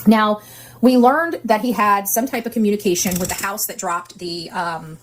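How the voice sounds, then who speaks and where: A woman's voice: mid-range voice, normal speed, slight background noise, low-quality recording. Rambling, opinionated woman commentator. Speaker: online commentary channel host. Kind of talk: casual true-crime case commentary livestream.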